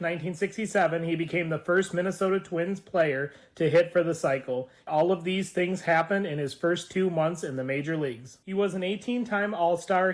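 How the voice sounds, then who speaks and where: A man reading a scripted narration of baseball career facts. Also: fairly high pitch.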